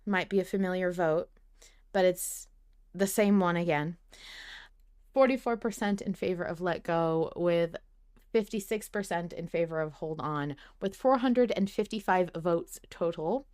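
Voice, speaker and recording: Clear, low-voiced woman podcast host. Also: measured pace, darkish tone. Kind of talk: casual fan podcast chat.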